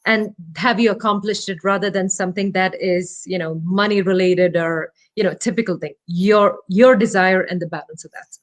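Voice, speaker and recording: A woman's voice: mid-range voice, fairly even intonation, normal speed, hardly any pauses. A woman whose first language is Malayalam, a startup founder and podcast guest calling in from home, talking thoughtfully and modestly with many fillers as she searches for words. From India. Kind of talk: casual interview talk. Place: home, remote call.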